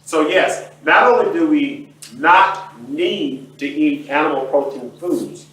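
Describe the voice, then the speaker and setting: A man's voice: a little high, takes it slowly, a bit bright. A man lecturing emphatically as a nutrition speaker. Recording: echoey room, distant mic.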